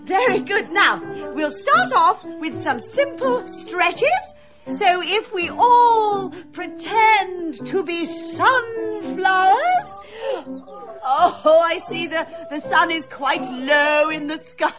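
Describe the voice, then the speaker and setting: A woman's voice: very high-pitched, lively intonation, slow pace, over music, full character voice. An actress playing a kindly, flustered, giggly English village woman in a radio drama, twittery and hesitant, eager to please. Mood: cheerful, fussing. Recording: muffled radio drama sound.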